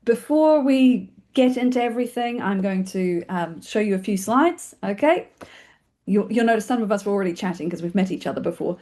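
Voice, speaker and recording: A woman with a New Zealand accent, talking fast and informally as she hosts an online webinar, with a chatty, self-deprecating manner. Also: medium pitch, animated, warm tone. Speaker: webinar host and film community organiser. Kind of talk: informal spoken webinar presentation. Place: online video call.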